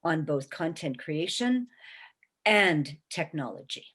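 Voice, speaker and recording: A woman's voice: low-pitched, very animated, takes it slowly, fairly bright tone, breathy edge. A woman in her sixties speaking on a webinar panel, emphatic and encouraging, often checking in with "okay?". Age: 60s. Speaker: panelist, small business owner. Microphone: video call.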